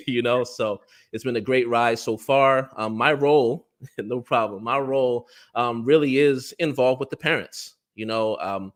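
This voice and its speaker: A man with an American accent from New Jersey, hosting a parent panel on a video call, friendly, with frequent laughs and fillers. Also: medium pitch. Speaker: parent relations executive and panel host.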